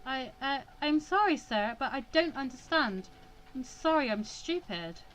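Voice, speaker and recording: A woman's voice: high voice, lively intonation, unhurried, a bit bright, pitched above the performer's own voice, low-quality recording. A woman voicing an earnest, emotional young female student in a visual novel, stammering and impassioned. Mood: tearful, self-blaming.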